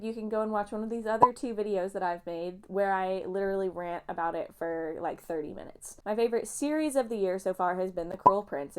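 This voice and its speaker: A young woman rambles through a book vlog in a loose, self-mocking way and keeps wandering off topic. Her voice is smooth and clean, with a slight nasal, sniffly edge from allergies. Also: mid-range voice. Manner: chatty, self-mocking, goes off on tangents. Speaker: booktuber.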